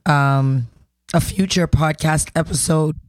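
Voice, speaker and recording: A woman's voice: sits low, slow pace, no room sound, clean studio sound. Upbeat, affirming woman co-host. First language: Haitian Creole. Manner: upbeat and affirming, with hesitant fillers. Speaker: podcast co-host and project founder. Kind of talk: casual podcast chat.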